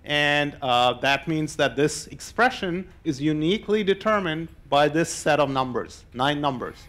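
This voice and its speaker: An older man speaks in a voice pitched a little high for a male. He lectures informally to an audience, explaining each point step by step in a conversational way. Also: expressive, measured pace, fairly bright tone. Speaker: mathematician and novelist giving a public lecture. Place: indoor lecture hall, on stage.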